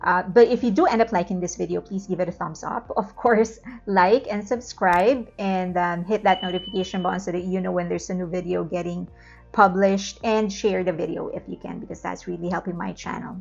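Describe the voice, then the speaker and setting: Gravelly-voiced young woman hosting a video essay. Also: lowish voice, steady tone, a little fast, highs cut off, faint music behind. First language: not Korean. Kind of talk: casual intro then scripted voice-over commentary. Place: home room with plush toys.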